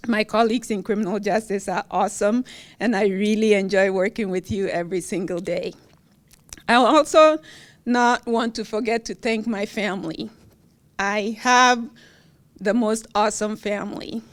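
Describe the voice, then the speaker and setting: A woman's voice: medium pitch, moderate pace. Professor, woman, middle-aged, Ghanaian accent; podium acceptance speech, cheerful, fillers and laughs. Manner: warm, laughs often, with fillers. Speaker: award-winning professor giving acceptance speech. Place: university event hall.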